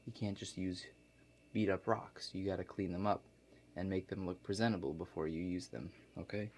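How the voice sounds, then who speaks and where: Low-voiced man playing a laid-back construction contractor. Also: steady tone, a little mellow, slight background noise. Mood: casual, unhurried. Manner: halting, matter-of-fact explaining to a client. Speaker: ASMR performer playing a contractor. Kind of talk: ASMR role play.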